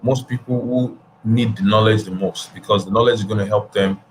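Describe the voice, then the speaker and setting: A man in his thirties with a fairly low voice hosts an interview over a video call. He speaks in a measured, hesitant way, often restarting his phrases, with a faint hum of room ambience behind him. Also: steady tone, low-quality recording. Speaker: host. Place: indoor room at home.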